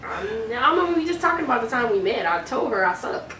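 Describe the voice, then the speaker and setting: Animated higher-voiced teenage girl, distant mic. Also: quick pace. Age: 17, late teens. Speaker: vlogger. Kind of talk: casual storytelling chat. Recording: roomy, noisy, distant mic.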